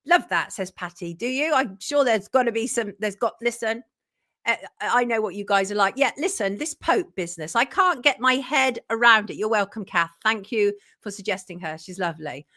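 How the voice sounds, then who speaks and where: A woman's voice: mid-range voice, expressive, normal speed, pushed and bright. A woman hosting a live-stream talk show, chatty and effusive, reading viewer comments aloud. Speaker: host.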